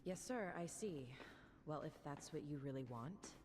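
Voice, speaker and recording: An actress playing a resolute middle-aged female gang boss in a video game, grave, measured and firm. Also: low voice, expressive, darkish tone, slight background noise. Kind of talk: video game dramatic acting. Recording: game music behind.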